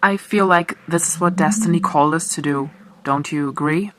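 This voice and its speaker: A man's voice: a little high, normal speed, sharp tone. A man playing a brash, loyal teenage superhero in an audio drama, talking in casual slang. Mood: easygoing, earnest. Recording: clear background noise, clicks.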